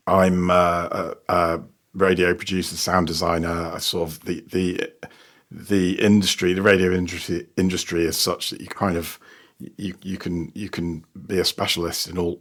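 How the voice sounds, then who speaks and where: Male interview guest, very low and husky, halting conversational delivery. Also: fairly bright tone. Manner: halting, repeats words. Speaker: guest, radio producer and sound designer.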